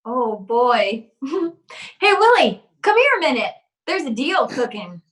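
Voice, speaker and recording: A cheeky little girl for a radio comedy. The voice is very high, swooping and unhurried, with a shrewd, knowing edge. It sits a little away from the microphone. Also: big pitch swings, fairly bright tone, distant mic, exaggerated voice. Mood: gleeful, sly. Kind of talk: vintage radio comedy acting.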